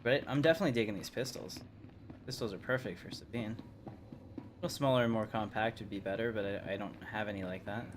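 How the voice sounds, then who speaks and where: A man's voice: lowish voice, fast talker. A man giving casual live commentary as he streams a video game. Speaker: game livestreamer. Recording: clear background noise, game ambience.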